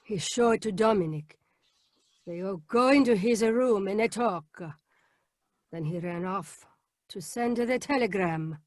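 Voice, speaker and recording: A woman's voice: medium pitch, unhurried, pauses often, no echo, studio quality, close to an everyday voice. A woman playing a frightened, evasive Italian landlady with an Italian accent in a radio mystery. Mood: nervous, confiding. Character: frightened, evasive Italian rooming-house landlady, big and motherly. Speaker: actress in a radio mystery performance. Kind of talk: 1940s radio drama acting. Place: home, live video call performance.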